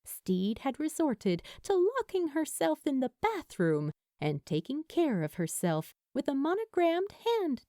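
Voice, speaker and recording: A woman reading scripted fiction as a storyteller, in an expressive way. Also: mid-range voice, no room sound, clean studio sound. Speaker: audiobook-style fan fiction narrator.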